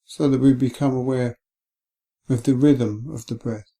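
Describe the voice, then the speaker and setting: Male guided-meditation voice, soft-edged and relaxed, calm and unhurried, flat melody. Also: medium pitch. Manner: gentle, calm, unhurried with long pauses. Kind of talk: guided body scan meditation.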